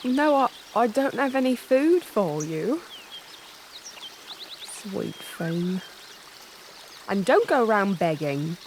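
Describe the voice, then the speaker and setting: An actress playing an earthy working-class young woman in a fantasy audio drama, wary but tender, with a gentle, folksy manner. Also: medium pitch, sing-song, slow, pauses often, slight background noise. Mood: gentle, musing.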